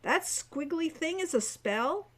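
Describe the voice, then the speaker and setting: A woman voicing a scornful, excitable teenage boy in an audiobook, taunting and melodramatic. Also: high voice, slow pace, pitched above the performer's own voice. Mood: stunned. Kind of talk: audiobook character voice.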